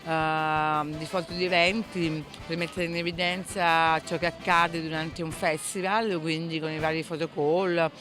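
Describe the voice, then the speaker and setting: A woman's voice: sits low, level tone, a little slow, hardly any pauses. A woman who teaches photography, giving an explanatory interview answer. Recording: music playing behind the voice.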